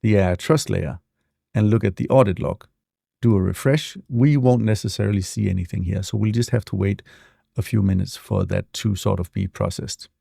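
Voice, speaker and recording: A man walking through a software demo in a relaxed, explanatory way, with frequent fillers, speaking close to a desk mic. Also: lowish voice, moderate pace, gravelly, slightly rough, dry recording. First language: not English. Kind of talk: screen-share tutorial walkthrough.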